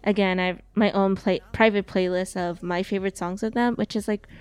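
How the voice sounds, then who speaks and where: A woman's voice: mid-range voice, fairly even intonation, a little fast, darkish tone, clean tone, faint music behind. A woman chatting casually on a podcast, rambling with many fillers and restarts. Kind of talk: casual chat.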